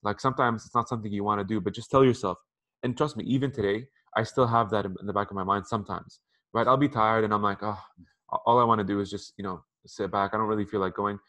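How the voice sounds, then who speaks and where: A man in his thirties talks quickly over a video call from a room at home. His voice sits a little low. He speaks conversationally and repeats words as he goes. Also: fairly even intonation, fairly bright tone. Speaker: interview guest. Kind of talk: casual advice on a video call. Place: apartment room by a window. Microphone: headphones on a video call.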